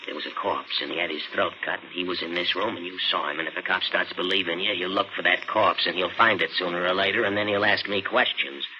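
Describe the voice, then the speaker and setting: Menacing cab driver: slangy, insinuating thug, lowish voice, muffled noisy old radio-drama sound. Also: male voice, fast, hardly any pauses, pushed and bright. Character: menacing working-class male cab driver with criminal secrets. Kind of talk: 1940s radio thriller acting.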